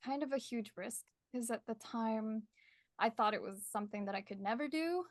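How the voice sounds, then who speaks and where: Brief: young artist guest, woman with an American accent, fairly high voice, friendly and laughing, dry sound. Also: moderate pace, no room sound. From Colombia. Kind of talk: casual interview chat. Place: her art studio.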